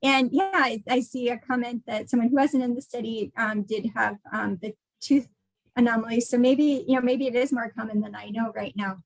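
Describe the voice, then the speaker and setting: A woman's voice: a little high, fairly even intonation, moderate pace, gravelly, no room sound, low-quality recording. A woman researcher speaking informally at a virtual talk over a video call, thinking aloud in a hesitant way.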